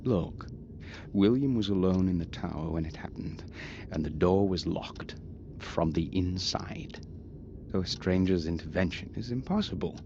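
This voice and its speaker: An actor playing a formal, measured and aloof lord of an old castle in a video game. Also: male voice, deep voice, expressive. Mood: dismissive, guarded. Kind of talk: scripted video game voice acting. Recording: muffled, very noisy game audio.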